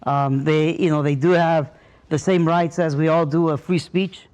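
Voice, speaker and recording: Guy from mexico answering slow, lots of um and you know, kinda high voice. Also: steady tone, darkish tone, clean tone. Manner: hesitant, many fillers. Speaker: guest.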